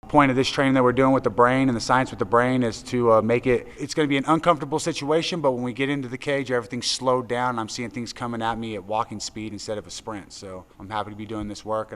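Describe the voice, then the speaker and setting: Low-voiced man in a reverberant space. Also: a bit bright. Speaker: professional fighter being interviewed. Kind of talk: casual interview answers. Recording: reverberant hall with faint noise.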